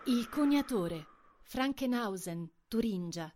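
A woman's voice: a little high, animated, takes it slowly, background noise. A woman in a radio drama, reading out scene titles.

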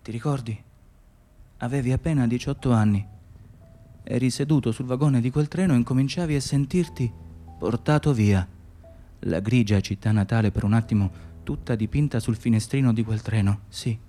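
A persistent, wistful wanderer played by a male actor in a radio drama. He is insistent and theatrical, circling back on his own phrases in a mid-pitched voice, with music in the recording. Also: dark tone, close to an everyday voice. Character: persistent, wistful male stranger musing on travel and home. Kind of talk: Italian radio play acting.

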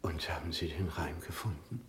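An actor playing a hesitant, apologetic and formal male lawyer, halting with embarrassment, in a radio play. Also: extremely low pitch, steady tone. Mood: sheepish, formal.

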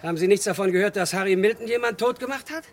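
A man's voice: sits high, very fast, lightly acted. A man playing a troubled, stubborn young man in a 1960s German radio thriller, insistent and brooding in simple phrases. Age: young adult. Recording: 1963 radio recording, faint noise.

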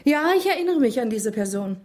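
An actress playing a woman recalling an old friend in a radio crime drama, expressive and theatrical. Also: a little high, fairly quick. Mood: reminiscing. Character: woman acquaintance of a painter, reminiscing to an inquirer.

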